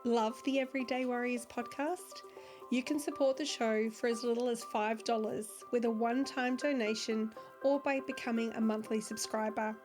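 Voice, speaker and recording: A woman hosts a podcast in a calm, supportive way, reading a scripted intro before turning to her guest. Her voice sits a little high. Music plays clearly beneath her speech. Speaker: podcast host. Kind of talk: scripted intro then interview.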